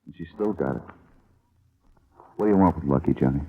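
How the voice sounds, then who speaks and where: A man's voice: a bass voice, lively intonation, brisk, husky, heavily acted. An American actor playing a weary, blunt working-class family man in a 1950s radio drama, plain-spoken and colloquial. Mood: resigned, bitter. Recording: muffled, lo-fi old radio recording.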